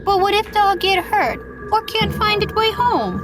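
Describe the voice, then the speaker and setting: Woman as a little girl, very high voice, curious and questioning, music bed. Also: very animated, unhurried, full character voice. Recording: music behind.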